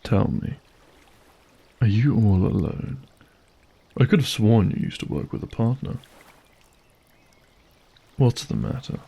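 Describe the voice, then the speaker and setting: Give a husky, low-pitched monster host played by a man for an audio drama. He is mockingly courteous and menacing, taking his time over each phrase in a dark, gravelly murmur. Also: animated, heavily acted. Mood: gloating. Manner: theatrical, mock-courteous, deliberate. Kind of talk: scripted audio drama acting.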